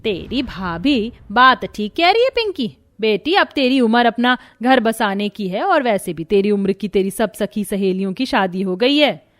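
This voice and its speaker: A woman's voice: mid-range voice, expressive, measured pace, hardly any pauses, dry recording, natural voice. A woman voicing a commanding older mother-in-law in a cartoon, motherly but firm.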